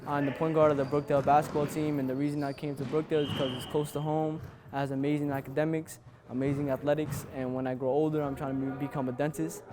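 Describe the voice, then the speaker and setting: A man's voice: fairly high pitch, level tone, fast talker, few pauses, noisy background. A young man from New Jersey giving a self-introduction as a college basketball player. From New Jersey, USA. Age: young adult.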